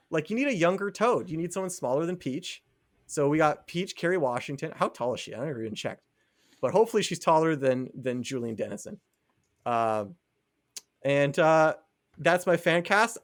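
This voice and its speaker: A man's voice: a little high, lively intonation, fairly quick. A young man co-hosting a podcast in casual chat, rambling and laughing at his own ideas. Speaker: podcast co-host.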